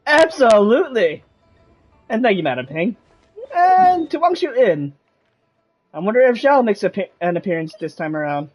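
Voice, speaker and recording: A woman's voice: a little high, big pitch swings, moderate pace, pauses often, darkish tone. A woman streamer giving casual let's-play commentary on a game. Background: game music.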